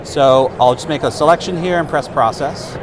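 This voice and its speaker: A man in his thirties walks through a product demonstration at a trade-show booth, speaking casually with the odd filler. His lapel mic picks up a steady wash of hall noise around him. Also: fairly high pitch, normal speed. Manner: relaxed, explanatory, casual fillers. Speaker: product specialist demonstrating software.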